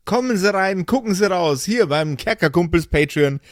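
A man's voice: sits high, lively intonation, normal speed, no room sound, studio quality. A man hosting a tabletop podcast, delivering playful promotional patter. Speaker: podcast host and game master.

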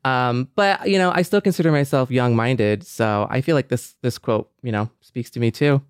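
Middle-aged man co-host, smooth voice, measured and affirming. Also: mid-range voice, no room sound, clean studio sound. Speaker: podcast co-host. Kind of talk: casual podcast chat.

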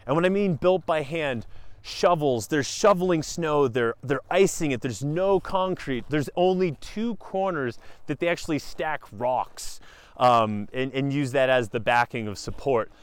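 A man's voice: on the high side, measured pace, smooth, no echo. Enthusiastic man on a dry close mic. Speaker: athlete reporter. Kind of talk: casual on-site walkthrough. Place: outdoors at a bobsleigh track.

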